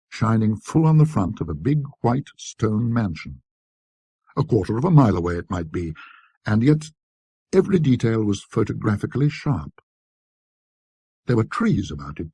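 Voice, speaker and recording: A man reading a classic ghost story as a scripted narration, in a precise, scholarly, measured manner. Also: medium pitch, warm tone, breathy edge, no echo.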